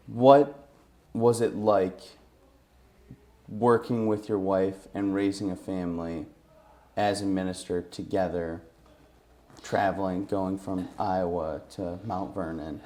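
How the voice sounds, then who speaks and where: A man interviews a guest in a low-pitched, relaxed voice. His tone is mellow, and he comes across as sympathetic and affirming as he gently converses. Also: slow pace. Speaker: interviewer, host.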